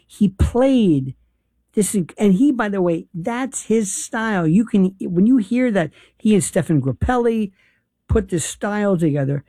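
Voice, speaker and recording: A man hosts a casual talk, his voice mellow and warm. He is animated and halting, starting sentences over and filling gaps with "uh". The sound is dry and close. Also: high-pitched, no echo. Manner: animated, halting, many restarts and fillers. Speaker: host. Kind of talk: casual conversation.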